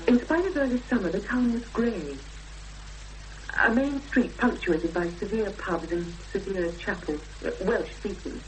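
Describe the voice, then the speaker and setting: Young English woman actress in role, 1970s radio drama, lively pitch movement, earnest, uneasy, wistful, hesitant, faint hum and noise. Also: unhurried, natural voice. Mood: sombre, reflective. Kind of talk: 1970s British radio drama acting, narration and dialogue. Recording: noisy, humming 1970s radio drama recording.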